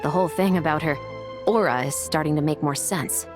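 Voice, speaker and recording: A woman's voice: low-pitched, fairly quick, music in the background, natural voice. A woman voicing an earnest, dutiful young musketeer officer in a video game, polite and upbeat. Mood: earnest, helpful.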